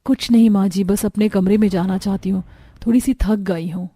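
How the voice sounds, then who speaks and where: A woman voicing a young Indian daughter-in-law, polite but easily frustrated, in an earnest, expressive cartoon family story. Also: medium pitch, moderate pace, husky, no echo, close to an everyday voice. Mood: wistful.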